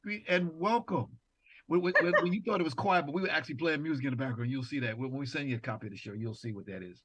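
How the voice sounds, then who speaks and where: Husky-voiced male talk show host. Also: medium pitch, fast talker, fairly bright tone, dry recording, rough recording. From New York. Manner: courteous, formal announcer phrasing. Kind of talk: interview and introductions.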